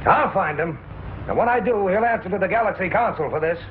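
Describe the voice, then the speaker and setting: An actor playing a stern, impatient military commander in a 1950s TV serial, clipped and authoritative. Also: male voice, high-pitched, lively intonation, fairly quick, a little hiss or hum. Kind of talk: 1950s television serial acting. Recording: tinny old TV film soundtrack.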